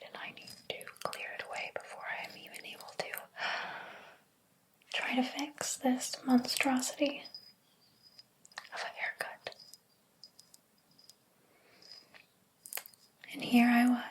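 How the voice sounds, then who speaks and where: Casting: woman as obsessive, wounded hairdresser for ASMR roleplay; higher-pitched, theatrical, unhurried, hushed breathy whisper. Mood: disdainful, indignant. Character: jealous, possessive hairdresser, obsessed with favorite client.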